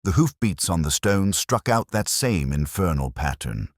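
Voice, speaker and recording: A man narrates a detective tale in a very deep voice, with measured, literary phrasing. His pitch moves in lively swings, and the recording is close and dry. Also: dry recording. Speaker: audiobook narrator. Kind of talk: scripted first-person detective story narration.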